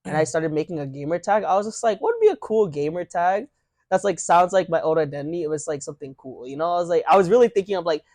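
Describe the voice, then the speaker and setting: A man's voice: fairly high pitch, lively intonation, quick pace, darkish tone, dry recording. A young man chatting casually with friends as podcast host, rambling through stories and laughing often. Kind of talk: casual chat among friends.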